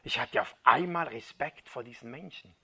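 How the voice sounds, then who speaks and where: A man preaching, retelling a first-person conversion story as a dramatic reading that drops to a hushed near-whisper at key moments. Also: mid-range voice, normal speed, gravelly, husky.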